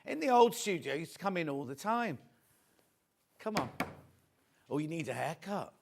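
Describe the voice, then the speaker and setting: A man hosting a live shopping show, chatty and friendly, reading out viewer messages with a slightly croaky voice. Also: on the high side, very animated, normal speed, noisy background. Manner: chatty, reads viewer messages aloud warmly. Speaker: shopping channel host.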